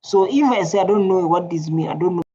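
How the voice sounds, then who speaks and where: A man's voice: sits high, fast. A South African man with a Tswana accent, a native Setswana speaker, commenting casually and bluntly on clips, repeating himself. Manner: animated, blunt, repetitive. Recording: dull lo-fi sound with faint noise.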